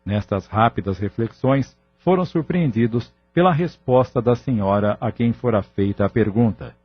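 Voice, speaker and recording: A man's voice: medium pitch, moderate pace, breathy edge, no room sound. A man with a Brazilian accent narrating a scripted audio novel in a dramatic storytelling style. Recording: slightly muffled.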